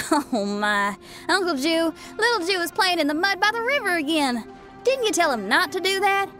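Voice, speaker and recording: Easygoing spirited rocker girl, high pitch, very lively intonation, casual teasing manner, anime game. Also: normal speed, faint music behind, exaggerated voice. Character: spirited, easygoing young female rock musician. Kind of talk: anime video game voice acting.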